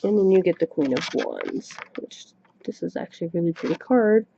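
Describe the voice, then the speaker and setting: A soft-spoken woman giving a tarot reading to camera at home, chatty and a little self-conscious, recorded on a webcam microphone. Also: on the low side, animated, a little fast, vocal fry, background noise. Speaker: tarot reader. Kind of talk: casual solo reading to camera. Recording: dull lo-fi webcam mic recording.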